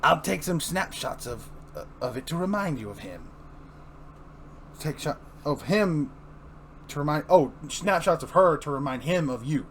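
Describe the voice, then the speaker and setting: A man's voice: a little high, lively intonation, unhurried. A man hosting a casual comic book reading, informal and often correcting himself as he narrates. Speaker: host and reader.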